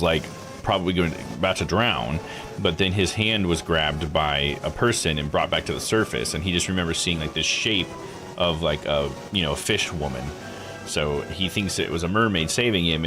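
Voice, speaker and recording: A man giving casual live commentary as a game streamer, thinking aloud as he goes. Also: low voice. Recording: music under the voice. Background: game music.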